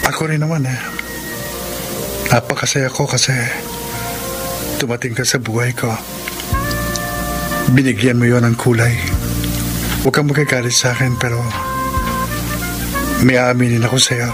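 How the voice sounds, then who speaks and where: A man's voice: mid-range voice, steady tone, moderate pace, long pauses, lightly acted. A man playing a courteous young suitor in a radio melodrama, speaking with a Filipino accent in a hesitant, sincere way. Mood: earnest, tender. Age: young adult. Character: earnest, courteous young gentleman, shyly courting his boss. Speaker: radio drama actor. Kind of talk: Philippine radio melodrama acting, Taglish. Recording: background music under the voice.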